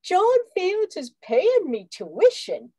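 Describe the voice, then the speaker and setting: Woman playing high-voiced, frightened girl. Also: wildly swooping pitch, slow, dark tone, dry recording, full character voice. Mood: pleading, alarmed. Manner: melodramatic, pleading. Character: frightened, pleading young Irish nursing student held hostage. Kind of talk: old-time radio drama acting.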